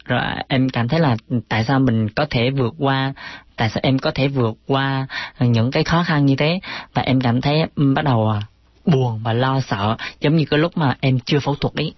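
A woman's voice: very deep voice, fairly quick, no room sound. Hesitant Vietnamese woman, slightly muffled. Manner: hesitant, broken English. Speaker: interviewed film subject and co-director.

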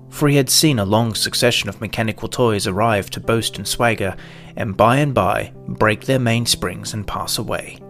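A man reading a children's story as narrator, in a measured, expressive storytelling manner. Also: mid-range voice. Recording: soft guitar music behind the voice.

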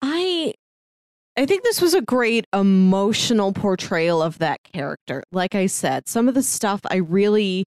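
A woman's voice: medium pitch, lively intonation, measured pace, a little mellow, dry recording. Female podcast co-host for casual review chat, recorded dry with no room sound.

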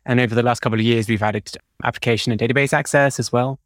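A man's voice: mid-range voice, steady tone, quick pace, no room sound, clean studio sound. A man with a British accent, explaining technical work informally as a podcast guest. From United Kingdom. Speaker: podcast guest, DevOps engineer.

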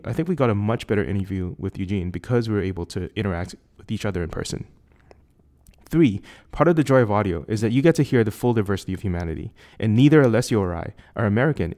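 A man with a Singaporean accent hosting a tech podcast, reading a measured, articulate prepared introduction. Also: darkish tone. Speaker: podcast host. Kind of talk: scripted intro then casual interview. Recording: lower quality recording outside a studio.

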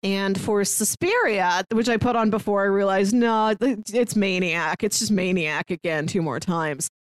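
An American woman speaks as a podcast co-host, chatting informally and with enthusiasm. Her pitch sits in the middle range, and the sound is dry, with no room echo. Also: moderate pace, clean tone. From St. Louis, United States. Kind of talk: casual pop-culture recap chat.

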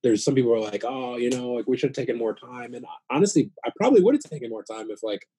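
An American man in his late thirties talking casually and sincerely, in a reflective, halting way, circling back over phrases as he thinks. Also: mid-range voice, lively intonation, dark tone, slightly rough. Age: late 30s. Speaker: podcast guest, new father. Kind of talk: casual heartfelt chat.

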